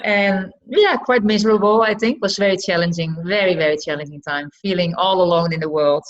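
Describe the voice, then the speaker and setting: A middle-aged woman from the north of the Netherlands, a native Frisian speaker with a Dutch accent, telling her story reflectively and haltingly as an interview guest. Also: lowish voice. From Friesland, northern Netherlands. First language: West Frisian. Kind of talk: conversational personal storytelling in an interview. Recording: slightly dull, lo-fi podcast audio.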